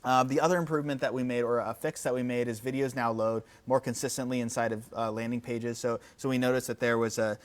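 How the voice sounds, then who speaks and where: A man's voice: mid-range voice, steady tone, fast talker, few pauses. A man hosting a product update livestream in a conversational, off-the-cuff way, often repeating words as he goes. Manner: conversational, off-the-cuff, hesitant repetitions. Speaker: company product presenter and host.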